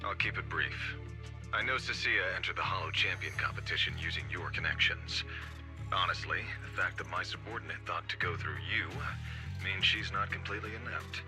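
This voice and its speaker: An actor playing a stern, shrewd commissioner in a video game, terse, dry and authoritative. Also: male voice, sits low, brisk, music in the background, rough recording. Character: stern, curt male commissioner, shrewd superior officer.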